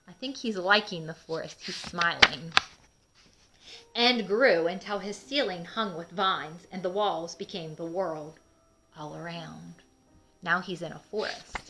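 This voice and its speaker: A woman reads a picture book aloud for young children. Her voice is bright and slow-paced, with emphatic, expressive storytelling and simple wording. Soft music is behind her. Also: fairly low pitch, poor audio. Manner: asks young listeners questions, explains simply. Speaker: children's read-aloud host.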